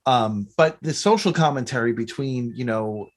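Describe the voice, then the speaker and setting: A man hosts a casual podcast in a medium-pitched voice. He rambles, doubles back and interrupts himself as he chats like a fan. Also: normal speed. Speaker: podcast host.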